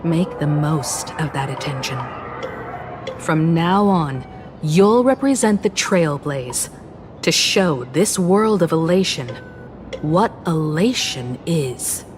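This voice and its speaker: A poised female mentor from a video game, with a lowish voice. She speaks slowly and knowingly, with playful confidence, over clearly audible music. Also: expressive, a little mellow. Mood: solemn, encouraging. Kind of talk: video game character acting.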